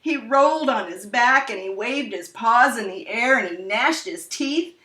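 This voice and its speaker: A woman reads a children's story aloud with dramatic emphasis, taking her time over the words. Her voice is bright and swings widely in pitch. The recording is roomy and picked up from a distance. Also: lively intonation, unhurried. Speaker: storyteller reading a children's picture book aloud. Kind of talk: expressive read-aloud storytelling. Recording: roomy echo, distant microphone.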